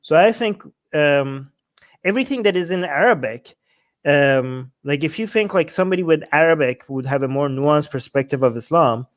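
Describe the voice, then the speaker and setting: A man co-hosting a casual question-and-answer chat, with a slightly high voice. He talks bluntly and with animation, full of fillers and restarts. He pushes his points hard and opinionated. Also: moderate pace. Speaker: co-host answering viewer questions.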